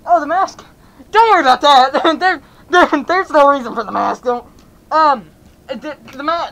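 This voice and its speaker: A woman's voice: high-pitched, fairly bright tone, pitched above the performer's own voice, low-quality recording. A woman voicing a panicky, flustered young man who stammers and repeats words in an amateur comedy role-play. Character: panicky, stammering, flustered boyfriend hiding behind a mask.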